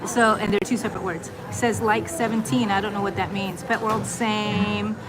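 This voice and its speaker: A woman's voice: mid-range voice, flat delivery, moderate pace, poor audio. A woman hosting a casual crochet live stream, chatting and reading viewer comments aloud, often laughing. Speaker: crochet channel host. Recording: music playing in the background.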